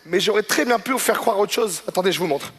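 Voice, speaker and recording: A man's voice: high-pitched, fast talker, big-room sound, noisy background. A man performing stand-up comedy, telling stories conversationally and addressing the audience directly. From Morocco or Moroccan family in Quebec. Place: comedy gala stage.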